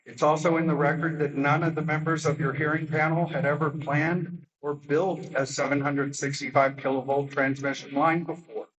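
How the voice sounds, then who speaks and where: A man's voice: on the high side, fairly bright tone. A man, a landowner, reading pointed, formal questions to utility staff at a public meeting. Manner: formal, pointed, reads prepared remarks. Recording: distant mic with faint noise.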